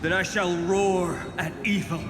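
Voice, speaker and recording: An actor playing an earnest, idealistic young hero in a dramatic video game scene. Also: male voice, high-pitched, steady tone, unhurried, background noise. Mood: rousing, defiant. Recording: echoing hall reverb under loud music.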